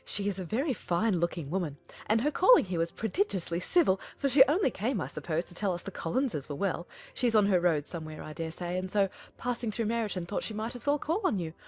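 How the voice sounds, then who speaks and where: A woman's voice: mid-range voice, very animated, fast talker, few pauses, darkish tone, husky. A woman playing a gossipy, self-satisfied middle-aged English mother in a dramatized audiobook, gushing and rambling. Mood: chatty, pleased.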